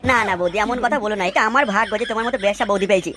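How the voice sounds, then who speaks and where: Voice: medium pitch, very fast, heavy background noise, lightly acted. A performer voicing a lewd, eager young male admirer in a cartoon, effusive and insistent as if wholly sincere. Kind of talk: cartoon dialogue.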